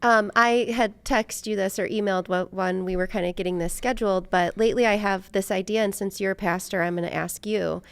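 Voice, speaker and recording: Creaky but clear-voiced American woman, chatting. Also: mid-range voice, fairly even intonation, brisk, hardly any pauses. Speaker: podcast host. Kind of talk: casual conversational interview.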